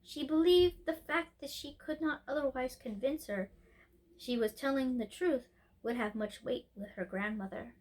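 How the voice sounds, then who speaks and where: A woman reading a children's story aloud as an expressive storyteller, occasionally stumbling over a word. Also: high-pitched, normal speed, poor audio. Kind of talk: scripted narration, storybook read-aloud.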